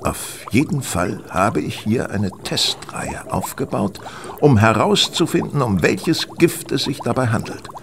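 A brilliant, excitable detective in a radio drama. His delivery is highly animated and theatrical, sweeping up and down as he lectures with great self-assurance. Clear effect noise sits behind the voice. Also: a little slow. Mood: confident, lecturing. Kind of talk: German radio play acting.

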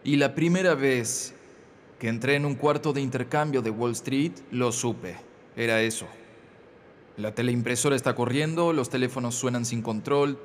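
A middle-aged man giving a motivational talk as a voice-over, earnest, measured and emphatic. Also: mid-range voice, a little hiss or hum. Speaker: motivational speaker.

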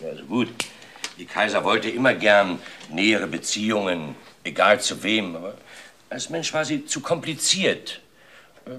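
An evasive male boss in a crime radio drama. His voice is low and rough-edged. He blusters and hedges with an air of importance. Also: lively intonation, unhurried, sharp tone, heavily acted. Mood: dismissive. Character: evasive, self-important male boss under police questioning. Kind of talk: German crime radio play acting.

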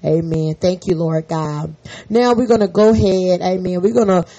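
A hoarse woman hosting a faith podcast, leading a fervent spoken prayer and an encouraging sermon full of repeated amens. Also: lowish voice, moderate pace, dark tone. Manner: fervent, repetitive, peppered with amen. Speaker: podcast host and prayer leader.

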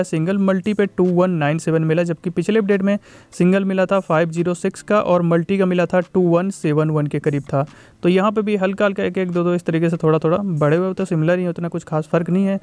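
A man's voice: sits high, a little mellow, clear, resonant tone, no echo. A man giving a casual, repetitive tech review voice-over, mixing in English tech terms.

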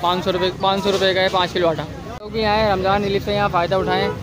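A man's voice: sits high, flat delivery, fast, a crowd in the background. A male vlogger walking through an outdoor market stall, filming on his phone and upbeat as he repeats prices to the camera. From Pakistan. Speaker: vlogger host. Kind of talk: walking market tour vlog.

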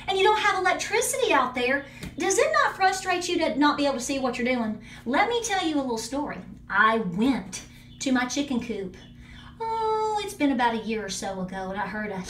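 A woman's voice: high-pitched, fairly bright tone, echoey room, slight background noise. A woman hosts a casual homesteading product review vlog, talking straight to viewers in a chatty, emphatic way from a small bathroom, recorded on a handheld phone. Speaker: homesteading channel host.

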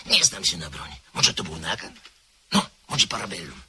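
An actor playing a nervous, guilt-ridden gentleman in a radio drama, speaking anxiously and breathlessly. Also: low-pitched, very animated, moderate pace, heavily acted. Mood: fearful. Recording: old radio play recording with light hiss.

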